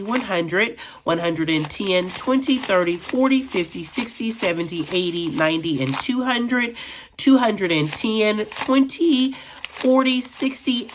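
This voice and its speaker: A middle-aged woman vlogging about her budget in a chatty, friendly way, a little raspy from allergies. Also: medium pitch, slight background noise. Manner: chatty, addresses viewers as friends. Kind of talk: casual chat while counting cash.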